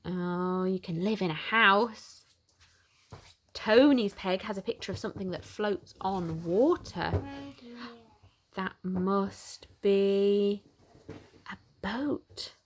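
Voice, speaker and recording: A woman reading a children's picture book aloud in an animated, expressive way for young listeners. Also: medium pitch, slight background noise. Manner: animated, simple questioning aimed at small children. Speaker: children's story reader.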